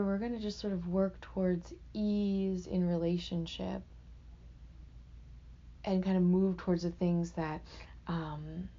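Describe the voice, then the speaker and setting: A woman teaching a guided meditation in a gentle, unhurried, reflective way, speaking off the cuff with pauses between phrases. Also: medium pitch, lo-fi sound.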